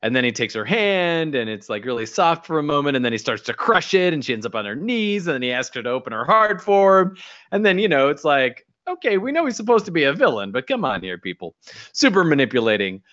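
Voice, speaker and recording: A man's voice: on the high side, sing-song, quick pace, a bit bright, dry recording. Man recapping in a dry dull mix. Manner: summarizes scenes and acts out quoted lines. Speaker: podcast co-host recapping an episode. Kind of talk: casual recap chat.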